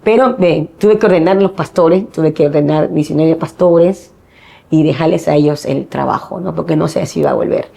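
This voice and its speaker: A woman's voice: sits low, normal speed, vocal fry, dark tone. A middle-aged woman from Ecuador, a missionary guest giving earnest personal testimony and acting out the dialogue she quotes.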